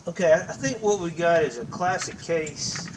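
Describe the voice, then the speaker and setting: A man with a slightly raised voice talks in a folksy, thinking-aloud way, restarting his words as he shoots. He sits well back from the camera mic outdoors, under loud steady noise with clicks. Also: a little high, normal speed, distant mic. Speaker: shooting range vlogger. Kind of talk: casual narration while shooting. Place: outdoor shooting range in woods.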